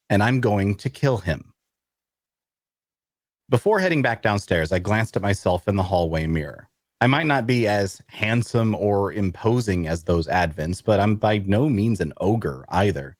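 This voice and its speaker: Wry, vengeful male loner acted by a man, confiding narration for fantasy audio drama, low voice, dry close sound. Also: no echo, natural voice. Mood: grimly determined, then self-deprecating. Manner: confiding, wry, addresses the listener. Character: wary, vengeful young male loner narrating his own tale. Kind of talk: scripted first-person audio drama narration.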